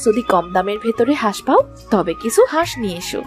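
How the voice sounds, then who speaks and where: Woman playing practical village wife, lively intonation. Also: mid-range voice, brisk, music in the background, pitched below the performer's own voice, close to an everyday voice. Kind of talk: cartoon story voice acting.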